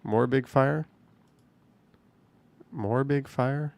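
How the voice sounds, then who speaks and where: Male stream host, mid-pitched with a gravelly edge, loose off-the-cuff commentary. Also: drawn-out words, darkish tone, dry recording. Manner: chatty, thinks aloud, swears casually. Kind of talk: casual live stream commentary.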